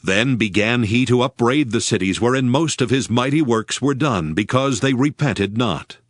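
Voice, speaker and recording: A man narrating a dramatized Bible reading with steady, reverent storytelling. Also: mid-range voice, normal speed, dry recording. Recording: studio narration with music beneath.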